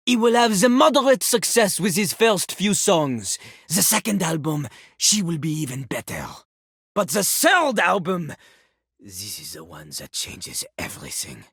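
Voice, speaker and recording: A woman's voice: lowish voice, husky, no echo, studio quality, exaggerated voice. A woman voicing a vain, flirtatious male French rapper character in a video game, with a French accent and a grandiose, theatrical delivery. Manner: grandiose, flirtatious, speaks of self in third person.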